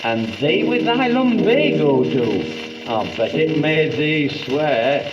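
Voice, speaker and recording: A man's voice: on the high side, lively intonation, takes it slowly, dull audio. A man voicing a proud, blunt, stolid old Lancashire soldier in a 1930s comic monologue. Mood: polite, blunt. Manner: stolid, haughty, plain-spoken. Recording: echoey 1930 78rpm record with music.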